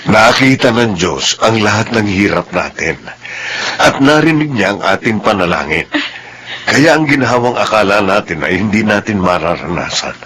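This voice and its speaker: A man's voice: on the low side, lively intonation, moderate pace, fairly bright tone, slight background noise. A man playing a devout, grateful, earnest father in a Filipino radio drama.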